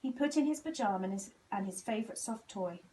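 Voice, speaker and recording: Expressive woman reading a children's storybook. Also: medium pitch, fairly quick, distant mic, slight background noise, poor audio. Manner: animated, acting out dialogue. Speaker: volunteer story reader.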